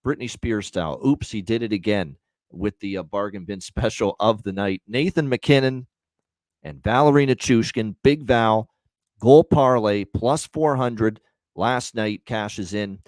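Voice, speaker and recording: A man's voice: fairly low pitch, a little slow, a little mellow, no echo. A man hosting a sports betting show, talking off the cuff in an emphatic way that repeats phrases for stress. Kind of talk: unscripted betting show commentary and promotion.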